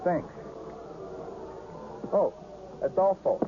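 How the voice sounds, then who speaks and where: High-pitched, brash carnival ticket man. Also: lively intonation, drawn-out words, heavily acted. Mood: irritated, brisk. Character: brash, profane small-time carnival ticket seller. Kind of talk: radio drama acting. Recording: dull, noisy old radio broadcast.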